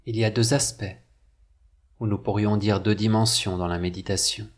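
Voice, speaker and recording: Low-pitched male meditation narrator. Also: steady tone. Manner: measured, deliberate phrasing with pauses. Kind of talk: scripted guided meditation narration.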